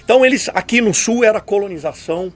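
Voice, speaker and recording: Husky older man with a lively, high voice. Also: quick pace, soft background music. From southern Brazil. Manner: lecturing, with frequent tag questions. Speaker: guest historian. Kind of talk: explanatory radio conversation.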